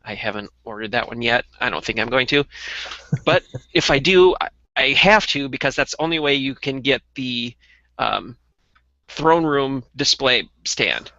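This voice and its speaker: A man's voice: medium pitch, animated, unhurried, pushed and bright, dry recording. A man co-hosting a collecting show in casual, conversational chat. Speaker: co-host of a toy collecting podcast. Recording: dull, muffled lo-fi audio.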